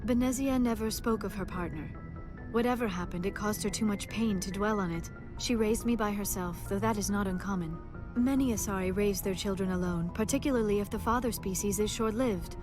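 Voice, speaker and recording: A woman playing a gentle, scholarly female alien in a video game, speaking in formal, measured and precise phrases. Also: mid-range voice, music in the background, natural voice. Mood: wistful, reflective. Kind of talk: video game dialogue acting.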